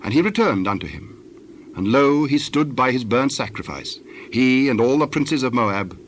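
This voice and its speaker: Male narrator for audio Bible: medium pitch, scripted storytelling, faint ambient background. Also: animated, moderate pace, a little hiss or hum.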